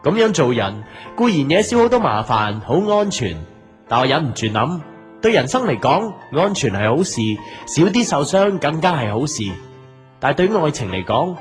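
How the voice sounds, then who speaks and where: A man's voice: on the high side, sing-song, moderate pace. A man acting a patient, restrained big brother in an audio drama, speaking in a subdued, reflective way. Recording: soft guitar music behind.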